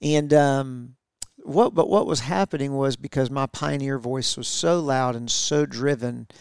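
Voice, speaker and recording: A man's voice: mid-range voice, a little slow, gravelly, smooth, no echo, clean studio sound. A middle-aged man co-hosting a podcast, talking reflectively with his wife, with many fillers and restarts. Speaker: podcast co-host. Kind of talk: casual conversation with spouse.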